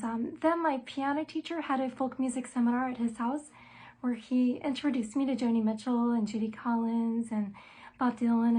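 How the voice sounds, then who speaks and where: Middle-aged female songwriter, light, slightly high voice, measured interview delivery with frequent fillers. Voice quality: light, soprano-range voice. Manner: thoughtful and measured, with frequent "um" and "uh" pauses. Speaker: musician and songwriter interviewed about her album. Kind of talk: self-told interview answers, conversational.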